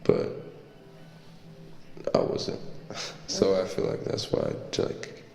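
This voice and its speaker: A man's voice: slow, mellow, echoey room, big-room sound. A young American man co-hosting a podcast, joking around in slangy, casual banter. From United States. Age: young adult. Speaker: podcast co-host. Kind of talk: casual chat.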